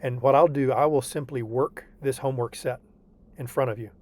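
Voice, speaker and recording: A man's voice: medium pitch, fairly even intonation, brisk, a little mellow. Patient tutor man checking in often. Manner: patient and reassuring, checks in with frequent "okay?". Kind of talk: online tutoring session walking through homework.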